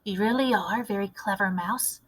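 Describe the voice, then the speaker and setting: A woman voicing a loving, shrewd old grandmother in a storybook, measured, fond and admonishing. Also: medium pitch, natural voice. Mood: admiring.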